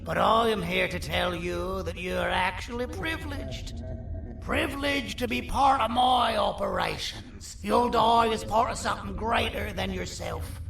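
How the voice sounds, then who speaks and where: A man playing a sinister, self-important monster surgeon in a video game, pompous, theatrical and condescending, with a gravelly voice. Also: sits high, full character voice. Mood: contemptuous, menacing. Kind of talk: video game villain character acting. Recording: roomy echo, distant, faint noise.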